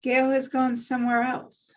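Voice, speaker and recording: A woman's voice: sits high, monotone, measured pace. A woman reading stage directions as the narrator of a scripted table read.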